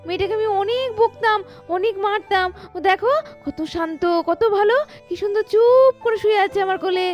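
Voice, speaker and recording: A woman voicing a numb, grieving mother in denial, wistful and hushing, in a melodramatic doll cartoon. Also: very high voice, brisk, soft background music. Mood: dazed, tender.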